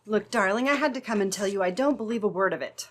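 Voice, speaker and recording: A woman's voice: medium pitch, quick pace, close to an everyday voice. A woman playing a loyal, anxious and persistent sweetheart in an old-time radio drama, earnest and pleading. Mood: earnest, insistent.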